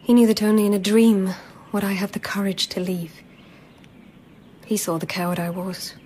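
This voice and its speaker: An actress playing a timid, lovestruck palace lady in a radio drama, hushed and hesitant, with a husky, breathy voice. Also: medium pitch, fairly even intonation, moderate pace, slight background noise, lightly acted. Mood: sorrowful. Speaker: actor. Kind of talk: intimate radio drama acting.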